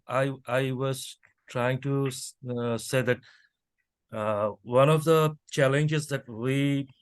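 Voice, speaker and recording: A man's voice: mid-range voice, no room sound. Man, hesitant, many restarts, video call, dry sound. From Bangladesh. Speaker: workshop participant.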